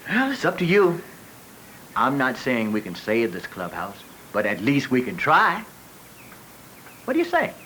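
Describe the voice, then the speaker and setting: An older American retired teacher in a 1980s educational film, kindly and patient as he explains. His pitch swings widely and his tone is bright and forceful, over a faint hiss of film noise. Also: wildly swooping pitch, measured pace, long pauses. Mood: earnest, encouraging. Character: kindly, encouraging retired schoolteacher, older man, neighborly mentor. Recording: faintly noisy 1980s 16mm film soundtrack.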